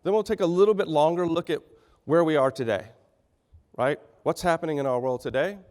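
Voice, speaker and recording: A middle-aged man with a Texan accent, slightly raspy from a sinus infection, giving a folksy conference keynote. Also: fairly high pitch, brisk, darkish tone. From Texas. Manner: folksy, conversational, with frequent fillers and restarts. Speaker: keynote speaker. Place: large conference hall. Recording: reverberant hall sound.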